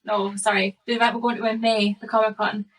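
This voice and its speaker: A woman chats casually as a podcast guest. She talks quickly on an even pitch, a little way from the microphone. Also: far from the mic, rough recording. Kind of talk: casual chat.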